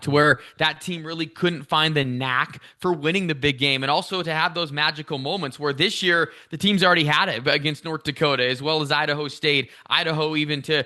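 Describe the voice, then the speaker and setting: A man's voice: a little high, sharp tone. A man talking as a radio play-by-play announcer in a sports interview, fluent, detailed and enthusiastic.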